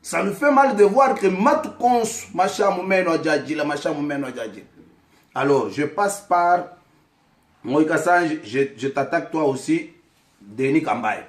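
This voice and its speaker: A man's voice: fairly high pitch, moderate pace. A man from Kasaï in DR Congo with a Congolese French accent, whose first language is Tshiluba, giving an impassioned political address to camera and calling people out by name. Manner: fiery, accusatory, calls out names directly. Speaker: vlogger addressing his community. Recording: echoey room.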